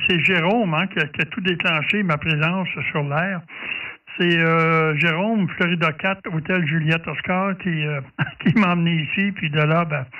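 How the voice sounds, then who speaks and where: A man with a Quebec French accent in a casual, halting amateur radio exchange, often repeating his words. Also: high-pitched, pushed and bright. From Quebec, Canada. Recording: dull, distant amateur radio audio.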